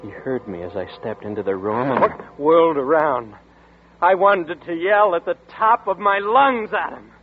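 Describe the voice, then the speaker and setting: A man voicing a frightened young man accused of murder, nervous and halting, in 1940s radio drama. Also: a little high, expressive. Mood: tense narration. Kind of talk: 1940s radio drama, first-person narration. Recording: tinny old radio broadcast with music.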